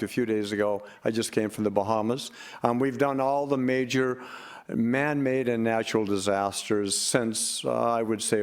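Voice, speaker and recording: An older man giving an unscripted conference panel talk, halting and rambling, with frequent hesitations. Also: mid-range voice, a little mellow. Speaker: panel speaker for a relief organization.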